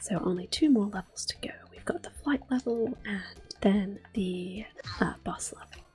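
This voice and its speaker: A woman giving soft-spoken, often whispered ASMR commentary on a video game, in a gentle, hushed manner. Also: mid-range voice, normal speed, faint music behind. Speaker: ASMR gaming host.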